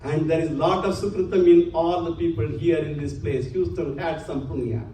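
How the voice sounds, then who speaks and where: A man's voice: on the high side, fairly even intonation, moderate pace, warm tone. A man giving an earnest religious lecture to a congregation, using many Sanskrit terms. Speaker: spiritual lecturer. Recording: echoey hall, distant mic, faint hum.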